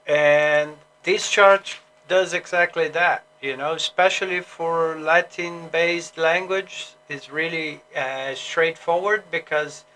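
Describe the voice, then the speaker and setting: Man, Brazilian Portuguese accent, bright tone, rambling casual chat, slight background noise. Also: a little high, fairly even intonation, slow pace, low-quality recording. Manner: rambling, self-correcting, many fillers. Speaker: streamer and English teacher.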